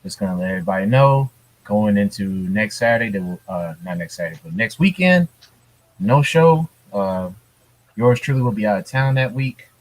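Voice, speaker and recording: A man co-hosting a casual gaming podcast from home, talking in a relaxed, rambling way with lots of fillers. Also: lowish voice, normal speed, low-quality recording. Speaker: podcast co-host. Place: hurricane-prone US city.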